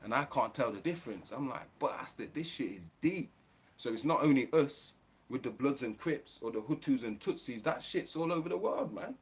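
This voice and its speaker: A man's voice: mid-range voice, lively intonation, normal speed, breathy edge. A man ranting on a live stream, in a profane, preachy, fired-up way.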